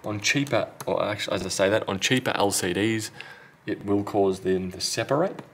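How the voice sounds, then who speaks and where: Low, creaky-voiced man giving repair tutorial. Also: moderate pace, a bit bright, a little hiss or hum. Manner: matter-of-fact, talking while working with hands. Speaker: phone repair technician, tutorial host. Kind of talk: casual step-by-step repair tutorial. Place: indoor repair workbench.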